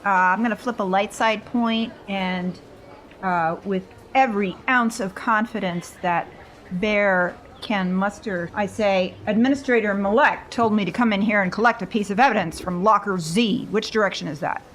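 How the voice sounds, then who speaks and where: Woman playing a tabletop game, mid-pitched. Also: normal speed, fairly bright tone, slight background noise. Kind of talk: casual role-play.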